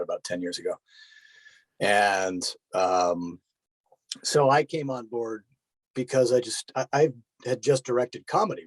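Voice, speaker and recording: Middle aged guy guest, lowish voice, super dry close sound, casual and joking. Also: dry recording, low-quality recording. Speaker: guest, director and voiceover actor. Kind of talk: casual interview talk.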